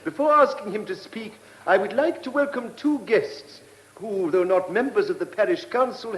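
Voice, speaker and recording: Formal, high-voiced male council chairman. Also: echoey room, low-quality recording. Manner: formal, hesitant, repeats himself. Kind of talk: radio play acting.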